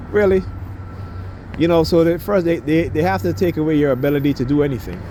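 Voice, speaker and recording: A man's voice: a little high, a little fast. A man in his fifties speaking emphatically in rambling street talk, often tagging "right?" and stumbling over repeated words as he interviews passersby. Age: 50s. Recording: loud traffic and street noise.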